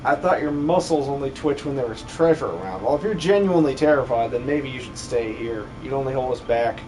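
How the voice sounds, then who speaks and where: A man's voice: mid-range voice, animated, a little fast, darkish tone, a little hiss or hum, close to an everyday voice, low-quality recording. A man voicing a bold, eager young adventurer with a confident, slightly haughty air in a video game fan dub. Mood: dismissive.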